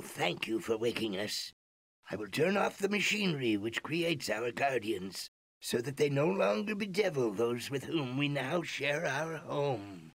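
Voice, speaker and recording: A man's voice: medium pitch, a bit bright, breathy edge, no echo, full character voice. A man playing a solemn, courteous ancient alien in an old computer game, speaking formally and theatrically. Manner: formal, theatrical, slow and deliberate.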